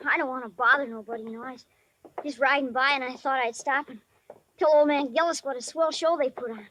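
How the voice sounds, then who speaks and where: An actor playing a shy but tough-talking ten-year-old boy in 1950s radio drama, with halting, slangy speech. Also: sits high, fairly even intonation, pushed and bright, a little hiss or hum, rough recording. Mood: shy, casual. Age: child figure, almost eleven. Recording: dry vintage 1950s radio recording.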